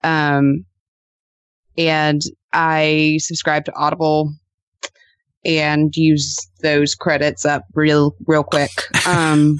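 A woman chatting casually as a podcast guest, telling animated anecdotes and acting out reactions in quotes. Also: low-pitched, drawn-out words, clear, resonant tone, dry recording. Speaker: podcast co-host appearing as guest. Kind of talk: casual interview chat.

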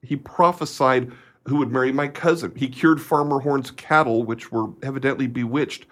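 A man's voice: mid-range voice, steady tone, normal speed, fairly bright tone, lightly acted. A man acting a nervous, deferential footman in a radio play, with a stammering, earnest servile manner. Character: nervous, deferential footman, eager believer in conjurers.

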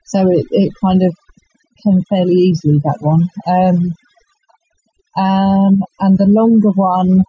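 A woman, an author chatting in an interview in a halting, self-effacing way. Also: fairly low pitch, steady tone, slightly rough, lo-fi sound. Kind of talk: casual interview chat.

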